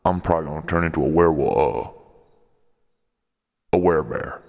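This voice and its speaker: A man voicing a gruff, defensive road comic and secret werebear, rambling and halting in an improvised comedy radio play. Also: a bass voice, darkish tone, full character voice. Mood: grave, halting. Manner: halting, rambling, irritable drawl with malapropisms. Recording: long reverberant echo.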